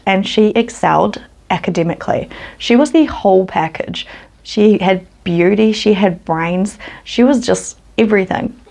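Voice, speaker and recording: A woman's voice: medium pitch, creaky, a little mellow. A woman from New Zealand with a New Zealand accent, hosting her true crime channel and telling the story in a casual, earnest way.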